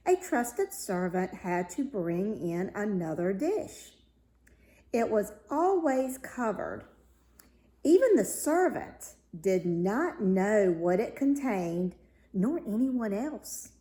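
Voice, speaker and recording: A woman reading a fairy tale aloud as a storyteller, with deliberate, dramatic phrasing. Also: medium pitch, mellow. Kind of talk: expressive read-aloud fairy tale narration. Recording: roomy, echoey room sound.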